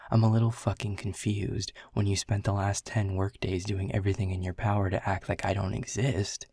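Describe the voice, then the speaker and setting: A husky, gravel-edged baritone from a man playing a jaded middle manager who talks straight at the listener. He is blunt and sarcastic and swears freely, with a gruff, prickly manner. The sound is dry and close. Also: a little mellow, dry recording, full character voice. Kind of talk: second-person audio roleplay.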